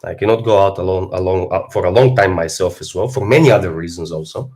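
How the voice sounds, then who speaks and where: Hoarse guy on a livestream, blunt, swears, goes on about life philosophy. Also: low voice, fast, darkish tone. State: hoarse from a cold. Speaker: fitness streamer. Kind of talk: casual livestream monologue.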